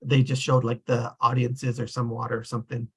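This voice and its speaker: A man's voice: medium pitch, monotone, brisk, gravelly, darkish tone, husky. A man hosting a casual group discussion, talking in a loose, rambling way that often trails off. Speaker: discussion host.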